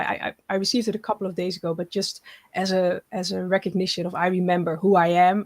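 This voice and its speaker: A woman's voice: fairly low pitch, dry recording. Earnest woman, dry close sound. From Netherlands. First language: Dutch. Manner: earnest, halting with frequent restarts. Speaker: guest. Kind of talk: casual interview chat.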